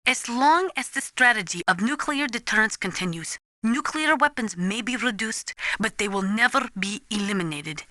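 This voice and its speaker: A woman playing an earnest, resolute Ukrainian weapons analyst in a video game, speaking gravely and deliberately as if lecturing. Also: a little high, expressive, sharp tone, dry recording, clean studio sound. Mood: grave, impassioned. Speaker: military advisor briefing a soldier. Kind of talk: dramatic video game voice acting.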